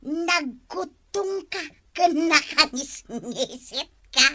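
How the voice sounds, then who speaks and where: Casting: woman as mocking Ilocano village busybody, very high, unhurried, taunting, broad comedy drama. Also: lively intonation, sharp tone, exaggerated voice. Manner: taunting, exclaiming, cackling. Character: mocking, sharp-tongued village busybody woman who teases everyone.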